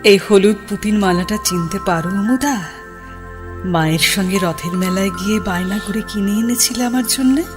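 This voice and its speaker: Woman voicing a shy teasing sweetheart in a radio play, soft voice, music under. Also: mid-range voice, moderate pace, lightly acted. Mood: affectionate. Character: gentle young woman, shy childhood sweetheart. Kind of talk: Bengali radio drama acting.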